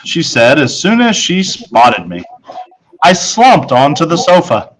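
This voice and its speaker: A man narrating a children's novel in the first person as a schoolboy, in a measured storytelling manner. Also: fairly high pitch, sing-song, slight background noise, rough recording. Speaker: storybook reader.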